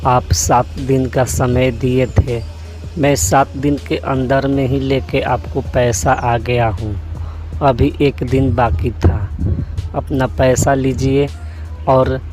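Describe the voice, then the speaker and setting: Cartoon casting: honest, hardworking farmer played by a man, mid-range voice, measured slow delivery, earnest. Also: medium pitch, level tone, music in the background, lightly acted. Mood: firm, earnest. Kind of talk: cartoon moral-story character acting.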